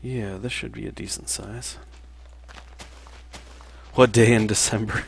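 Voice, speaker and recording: A man in his mid-thirties talks through a casual gameplay stream in a somewhat low voice. He is relaxed and unhurried, often pausing on "um" as he answers viewer questions between moves. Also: creaky, a little hiss or hum. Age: 30s. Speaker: livestreaming gamer and game developer. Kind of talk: casual livestream chat while playing.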